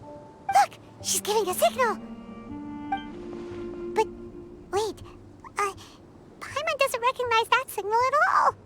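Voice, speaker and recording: A woman voicing a tiny, excitable fairy girl companion with a squeaky, childlike voice in a video game. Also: slow, long pauses, heavily acted. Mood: alarmed. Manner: excitable, refers to self in third person. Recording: music behind the voice.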